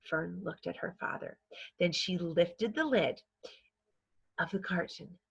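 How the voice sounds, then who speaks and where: A woman reading a children's story aloud in a measured, scripted narrating style. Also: lowish voice, creaky, husky, muffled recording. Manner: measured, acts out dialogue. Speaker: storybook reader.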